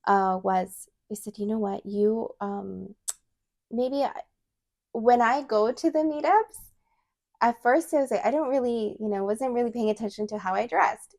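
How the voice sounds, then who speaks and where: A woman guest chatting casually on a podcast, animated, with frequent fillers and laughter. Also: fairly high pitch, normal speed. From Chilean heritage. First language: English. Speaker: podcast guest, nonprofit founder. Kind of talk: casual interview chat.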